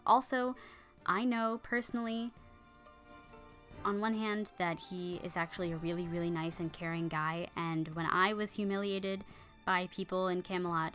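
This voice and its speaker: A woman's voice: lowish voice, fairly bright tone, lightly acted. Scheming lady's maid, put on by a woman narrator: persuasive, casual, a touch raised. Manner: coaxing, pushy, casual modern phrasing. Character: scheming loyal young maidservant, persuasive.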